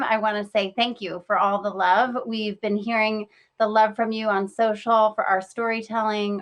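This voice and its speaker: A woman speaking as a company executive, presenting a scripted promotional announcement. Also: medium pitch.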